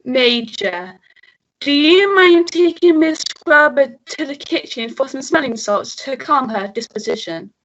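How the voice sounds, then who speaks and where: Woman as a police sergeant, high voice, slow deliberate commands, bossy, radio play. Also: fairly bright tone, lo-fi sound, slight background noise. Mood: commanding. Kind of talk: melodramatic radio play acting.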